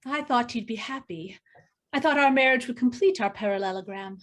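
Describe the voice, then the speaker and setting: A woman's voice: on the high side, a little fast, fairly bright tone. A woman playing a nervous, eager young bride who longs for approval, in a screenplay table read. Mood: anxious, hopeful.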